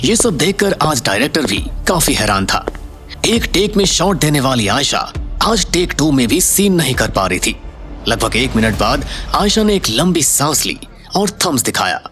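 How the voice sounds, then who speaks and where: A man's voice: fairly high pitch, big pitch swings, normal speed, a bit bright, soft background music. A man narrating a scripted Hindi audio story in a dramatic style.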